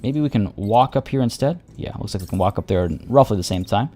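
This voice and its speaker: A man's voice: lowish voice, lively intonation, quick pace, mellow, faint music behind. Rambling man streaming a tactics game. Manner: rambling, many restarts and fillers. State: voice getting worn. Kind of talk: casual gameplay commentary.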